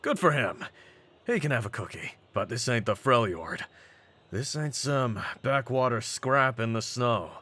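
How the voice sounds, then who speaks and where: Casting: male actor for cocky brawler champion, deep voice, streetwise slang, mocking confidence, in-character roleplay audio. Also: animated, unhurried, bright, forceful tone. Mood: mocking, assured. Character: cocky, brawny half-breed pit-fighting champion, hyping his partner.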